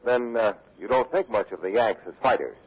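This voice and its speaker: An actor playing a brisk radio correspondent, formal in his reporting and dry in his questions, in 1940s drama style. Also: lowish voice, expressive, slightly rough. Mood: dry, probing. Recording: muffled old 1940s radio recording.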